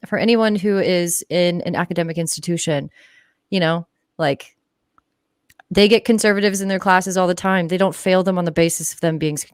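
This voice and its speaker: Low-voiced, blunt woman, dry close sound. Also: no room sound. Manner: blunt, sweary, emphatic. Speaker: livestream guest, journalist. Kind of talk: casual opinionated discussion.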